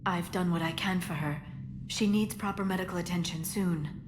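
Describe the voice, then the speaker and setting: Earnest, composed female scientist, lowish voice acted by a woman. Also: steady tone, background noise, natural voice. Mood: concerned, earnest. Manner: measured, serious, articulate. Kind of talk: video game cinematic acting.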